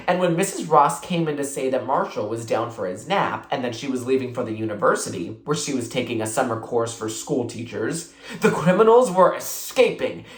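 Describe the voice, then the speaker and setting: A narrator reading a children's book aloud in an expressive storytelling style. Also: mid-range voice. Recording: roomy, echoing sound.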